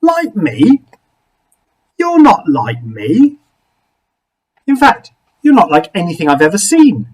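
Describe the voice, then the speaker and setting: A man's voice: high voice, huge pitch swings, slow, long pauses, breathy edge, recorded from a distance, pitched above the performer's own voice, full character voice. A man voicing a lonely, puzzled misfit creature in a children's story, speaking in a guarded, apologetic manner. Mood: puzzled dismissive. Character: lonely, wary, puzzled misfit creature called Something Else.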